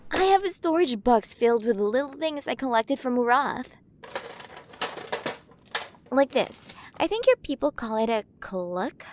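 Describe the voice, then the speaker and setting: Woman playing a curious, high-voiced alien queen. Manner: playful, wondering, gently condescending. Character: curious, possessive alien queen, ancient, doting on her human. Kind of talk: ASMR roleplay, acted monologue to the listener.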